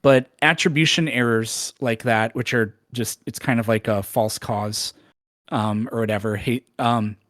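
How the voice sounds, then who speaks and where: A man's voice: medium pitch, steady tone, unhurried, fairly bright tone, dry recording, clean studio sound. A man who debates, answering callers in a casual call-in discussion with loose, filler-heavy reasoning.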